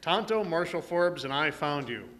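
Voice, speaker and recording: A man's voice: a little high, lively intonation, normal speed, a bit bright. A man playing a heroic, steadfast masked lawman in an old-time Western radio drama, speaking with firm authority. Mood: reassuring, concerned.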